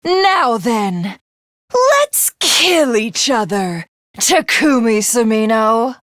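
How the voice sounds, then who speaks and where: A woman playing a grandiose, battle-crazed villainess who thinks herself a god, in theatrical, imperious anime game voice acting with a breathy voice that slips toward whispers. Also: on the high side, big pitch swings, drawn-out words, fairly bright tone, heavily acted. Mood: menacing calm. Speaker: video game voice actress. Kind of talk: dramatic anime game character voice lines.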